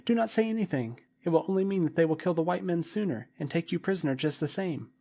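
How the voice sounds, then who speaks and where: Man doing an urgent little boy, high and earnest. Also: expressive, fast, gravelly, mellow. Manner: urgent, pleading.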